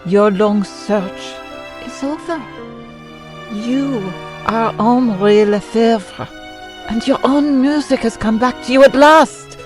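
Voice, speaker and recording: An actress playing a madman's devoted, long-suffering wife in a radio drama, deliberate and imploring. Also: a little high, music in the background. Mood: tender reassurance. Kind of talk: old-time radio drama acting.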